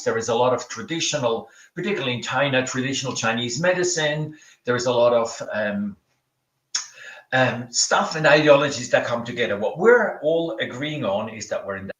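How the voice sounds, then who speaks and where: A middle-aged man from Switzerland with a Swiss accent, giving a conference talk over a video call in a relaxed, halting way with many ums and restarts. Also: mid-range voice. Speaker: keynote speaker. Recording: echoey room, from far off.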